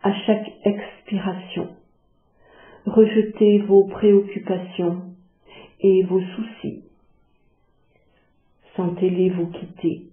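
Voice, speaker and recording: A woman leading a guided yoga relaxation, giving calm, measured instructions with long pauses. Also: mid-range voice. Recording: muffled phone-like sound, echoey, music behind.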